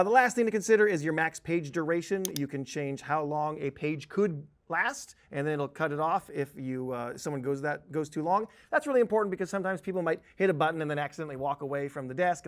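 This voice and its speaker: Informal male trainer with a higher voice. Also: fast, few pauses. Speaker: software trainer. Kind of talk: informal tutorial walkthrough.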